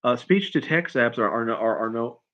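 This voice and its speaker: A man chatting casually on a livestream as a writer answering questions, in a halting, rambling way, often repeating and restarting his phrases. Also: mid-range voice, animated, a bit bright, dull audio. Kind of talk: casual unscripted chat, answering viewer questions.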